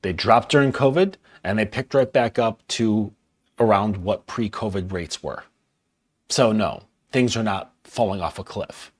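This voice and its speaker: A man's voice: on the low side, unhurried. A man hosting a reaction commentary, blunt, sarcastic and profane as he picks apart a video. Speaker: dating coach and channel host.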